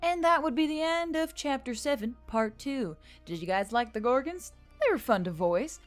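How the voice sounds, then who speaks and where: A woman's voice: high-pitched, animated, normal speed, faint music behind. A woman narrating a scripted story as host of an audio fiction channel.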